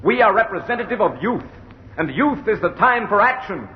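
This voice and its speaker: A rousing political orator in a vintage radio drama. His high voice declaims in emphatic, repeated phrases. The old recording is muffled with a faint layer of noise. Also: sing-song, normal speed. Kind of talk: 1940s radio acting, oratory.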